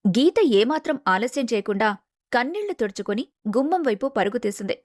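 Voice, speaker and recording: Higher-voiced woman narrating a story. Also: expressive, fairly quick, darkish tone, dry recording, clean studio sound. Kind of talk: scripted dramatic story narration.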